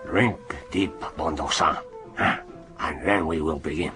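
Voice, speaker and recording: An actor playing a commanding, jovial intelligence chief in a radio drama, ceremonious and theatrical. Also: male voice, rumbling low, slow pace, sharp tone, faint music behind, heavily acted.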